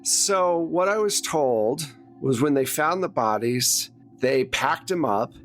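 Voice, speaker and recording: A man playing a puzzled, candid small-town morgue worker in a tabletop game, explaining things casually and haltingly. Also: fairly high pitch, lively intonation, fairly bright tone, soft background music. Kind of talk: improvised tabletop roleplaying dialogue.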